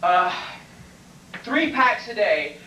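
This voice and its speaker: A nervous, blustering patient acted by a man stammers and objects his way through an amateur stage comedy. His pitch swings widely. He is heard from far off in an echoey classroom. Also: big pitch swings, drawn-out words, sharp tone, exaggerated voice. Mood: flustered, eager. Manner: stammering, protesting, comic exaggeration. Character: nervous, evasive male patient at a medical exam, blustering. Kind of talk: amateur comic stage acting. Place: classroom stage before small audience, far camera. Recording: distant, echoey, noisy camcorder in classroom.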